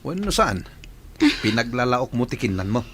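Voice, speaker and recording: Male actor for bossy, nosy man of the house in a radio play, commanding and animated. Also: mid-range voice, moderate pace, slight background noise, close to an everyday voice. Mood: fussing. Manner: questioning, commanding. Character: gruff, nosy master of the house, ordering people around.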